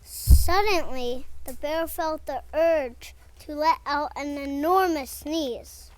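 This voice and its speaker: High-voiced, slow-paced female storyteller. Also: poor audio. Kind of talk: scripted storybook narration.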